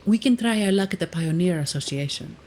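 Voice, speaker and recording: An actress playing a composed, dutiful bodyguard and guide in a video game, speaking in a formal, measured, matter-of-fact way. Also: female voice, on the low side, expressive, a little mellow, slight background noise, close to an everyday voice. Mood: composed, businesslike.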